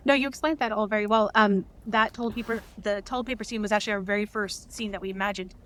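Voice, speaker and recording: A woman's voice: medium pitch, quick pace, a bit bright. A woman talking casually as an interview guest, explaining things in an earnest manner. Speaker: interview guest, director and writer.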